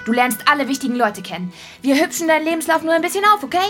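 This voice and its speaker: A woman's voice: high-pitched, animated, racing, sharp tone, faint music behind. An actress playing a confident, scheming woman in a melodramatic soap within a radio drama.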